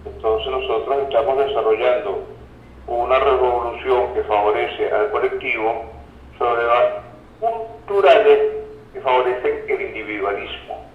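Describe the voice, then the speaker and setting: A man's voice: medium pitch, unhurried. A Venezuelan man speaking as an expert guest in an interview, explaining ideas reflectively and didactically with word origins and everyday examples. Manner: didactic, reflective, checks in with the listener. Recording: roomy echo, dull lo-fi sound, faint noise.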